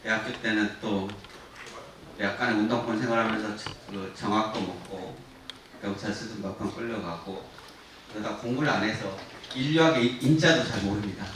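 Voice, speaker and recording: A man's voice: lowish voice, fairly even intonation, moderate pace. A man in his sixties giving an informal guest lecture to students, frank and self-deprecating, with many fillers. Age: 60s. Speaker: production company head giving a guest lecture. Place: university lecture hall. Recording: distant mic in an echoey lecture hall with noise.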